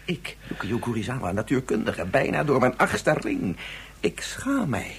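Proud, frustrated male scientist, formal and scornful, highly animated delivery; sci-fi radio drama with faint noise. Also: unhurried, gravelly. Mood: ashamed, formal. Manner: formal, exasperated, scornful, articulate. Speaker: physicist. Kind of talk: science fiction radio play acting.

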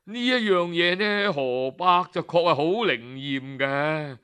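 A man's voice: on the high side, takes it slowly, sharp tone, clear, resonant tone. A man voicing a grieving old village elder who sighs and laments as he speaks. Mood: lamenting. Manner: lamenting, sighing.

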